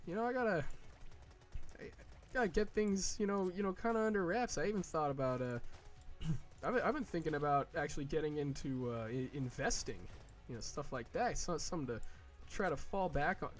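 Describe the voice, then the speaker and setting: A man in his early twenties talks loosely over his gameplay, circling his thoughts with fillers and false starts. His voice sits a little high for a man, and he swears casually between ideas. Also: soft background music. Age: early 20s. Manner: rambling, full of fillers and restarts, swears freely; slow, drawn-out mock whining in quoted asides. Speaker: gaming video creator. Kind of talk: rambling casual chat while playing.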